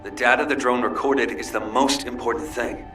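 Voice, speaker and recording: A man's voice: mid-range voice, steady tone, normal speed, a bit bright, lightly acted. A man playing a cool, pragmatic, aloof military engineer in a video game, with a dry, curt delivery. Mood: assured, instructing. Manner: dry, curt, matter-of-fact. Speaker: drone designer. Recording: distant voice under clear music.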